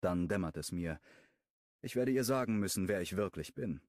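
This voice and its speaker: A man narrating a first-person romance audiobook in a calm, wry tone. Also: deep voice, normal speed, no room sound, studio quality.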